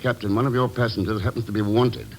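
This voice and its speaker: An actor playing a terse adventurer, matter-of-fact and dryly polite, in an old radio drama. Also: male voice, on the low side, steady tone, quick pace, a little hiss or hum, natural voice. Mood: wary, businesslike. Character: cool, terse American adventurer investigator. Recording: vintage radio recording, faint music.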